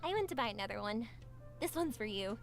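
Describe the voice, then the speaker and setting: A woman's voice: on the high side, expressive, a little fast. A woman voicing a cheerful, spirited young fireworks maker in a video game, upbeat and affectionate. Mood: warm. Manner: bright, upbeat, affectionate, giggly. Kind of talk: game voice acting. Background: game music.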